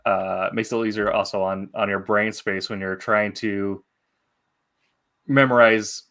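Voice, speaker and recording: A man's voice: on the low side, fairly even intonation, normal speed, fairly bright tone. A man hosting an interview, commenting and asking questions in a conversational style. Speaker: interview host.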